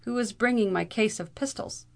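A woman narrator plays a bold lawyer in an old storybook tale. He is brisk, commanding and drily amused, speaking up firmly with a creaky edge. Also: sits high, pitched above the performer's own voice. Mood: brisk confidence.

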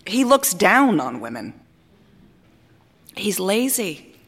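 An actress playing a vain, capricious opera singer in a theatrical monologue, speaking grandly with dramatic pauses, both scornful and adoring. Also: female voice, medium pitch, sing-song, a bit bright, husky, full character voice. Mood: scornful complaint. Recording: reverberant hall stage recording.

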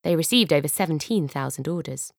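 A young British woman narrating an audiobook from a script, expressive and given to wry asides, close on a studio microphone. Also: low-pitched, brisk, vocal fry, no room sound.

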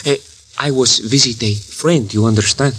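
An actor playing a Mexican man with a Spanish accent and broken English, quietly telling what he saw one night, in a 1950s radio drama. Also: mid-range voice, normal speed, lightly acted. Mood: hushed. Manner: broken English, hushed storytelling. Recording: old radio broadcast with faint hiss.

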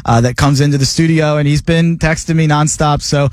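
A man's voice: fairly high pitch, steady tone, dry recording, studio quality. Man reeling off sports betting picks. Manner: rapid-fire, jokey delivery of picks. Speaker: sports betting radio host. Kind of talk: casual sports radio talk. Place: radio studio. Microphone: studio mic.

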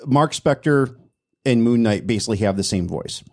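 A man's voice: medium pitch, expressive, moderate pace. A man hosting a podcast in casual chat, enthusiastic, often stammering and restarting his sentences. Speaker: podcast host.

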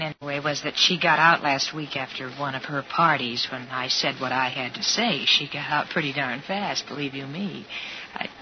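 An actress playing a chatty, prim, homespun young woman in a 1950s radio drama, gushing and easily flustered. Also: low-pitched. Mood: indignant, prim. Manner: gushing, flustered, old-fashioned exclamations. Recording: muffled, noisy 1950s radio recording.

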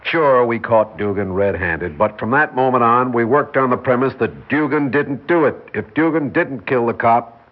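A man's voice: medium pitch, moderate pace, close to an everyday voice. An actor playing a sardonic, dismissive male law officer in a 1960s radio drama. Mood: firm, explaining. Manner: dry, emphatic, dismissive. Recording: dull 1960s radio recording.

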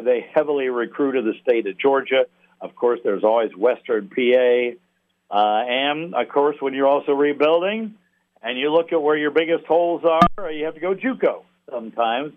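A man talking sports analysis as an interview guest, a play-by-play announcer speaking haltingly, with pauses mid-thought. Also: medium pitch. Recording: thin phone-like radio line.

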